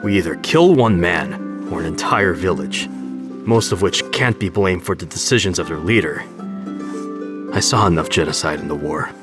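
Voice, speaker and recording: A man plays a principled, war-weary adventurer in a fantasy game. His voice is very low, his manner sober and dry. Music is clearly audible behind him. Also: moderate pace, close to an everyday voice. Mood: grave, dry. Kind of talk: fantasy video game acting.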